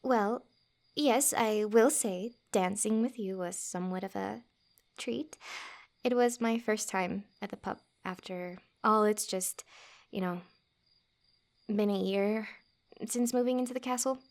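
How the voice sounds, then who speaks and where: A woman acting a playful, guarded young forager in a fantasy ASMR roleplay, with a teasing, halting delivery. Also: mid-range voice, a little mellow, lightly acted. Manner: teasing, halting, breathy little laughs.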